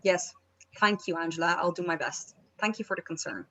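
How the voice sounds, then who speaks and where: A woman's voice: on the low side, a little fast, a bit bright, lightly acted. A woman in a comedy table read playing a breezy, affectedly sweet, harried character on a phone call. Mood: dry.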